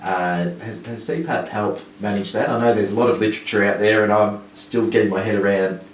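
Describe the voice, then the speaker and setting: A man's voice: fairly low pitch, fairly even intonation, brisk. A man hosting a casual interview, asking questions in a friendly, conversational way. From Australia. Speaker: interviewer, sleep clinic host. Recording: echoey room, mic at a distance, faint background noise.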